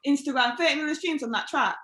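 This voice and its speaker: A woman hosts a relaxed radio interview, enthusiastic and quick to laugh. Her voice sits a little high. The recording carries a noticeable room echo. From Manchester, England. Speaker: radio host. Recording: echoey room.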